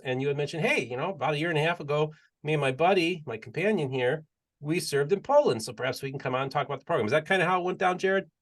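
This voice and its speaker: A man hosting a podcast interview, chatty and leading the talk with long, rambling questions. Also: on the high side. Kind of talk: casual interview chat.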